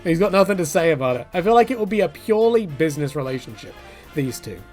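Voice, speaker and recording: A man's voice: fairly high pitch, big pitch swings, quick pace, darkish tone, soft background music. A man giving casual, rambling opinion commentary in a jokey, conversational manner, often slipping into mock quotes. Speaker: video creator giving commentary.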